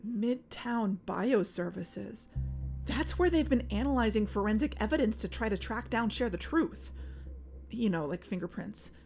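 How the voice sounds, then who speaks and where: A woman's voice: mid-range voice, lively intonation, a little slow, gravelly, a little mellow, soft background music. A woman playing an anxious, driven female podcast investigator in an audio drama, earnest and urgent. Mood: alarmed, urgent.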